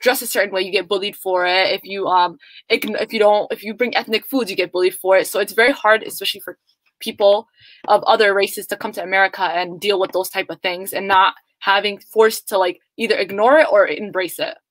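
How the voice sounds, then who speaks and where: Young woman, first language Somali, panel speaker; rapid, heartfelt run-on delivery, dry close mic. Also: mid-range voice, steady tone, fairly bright tone, dry recording. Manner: earnest, speaks in long run-on sentences. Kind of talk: earnest personal reflection.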